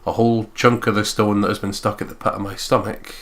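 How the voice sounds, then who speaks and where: A man reading a visual novel aloud as a let's play host, in expressive scripted narration. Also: on the low side, quick pace. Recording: guitar game music behind voice. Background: acoustic guitar game soundtrack.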